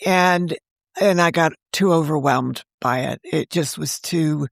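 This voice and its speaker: A woman's voice: deep voice, a little slow, creaky, no room sound, studio quality. A woman in her sixties, a novelist guest, talking earnestly in a rambling, casual way with frequent restarts. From United States. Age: 60s. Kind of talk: casual interview conversation.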